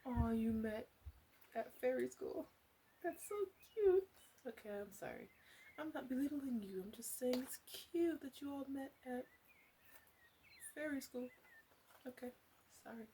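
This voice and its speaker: Woman playing a slow, softly teasing witch. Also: high-pitched, expressive, slight background noise, voice pushed up. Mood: teasing curiosity. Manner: hesitant, halting, softly teasing, often dropping into whispers. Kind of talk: ASMR roleplay.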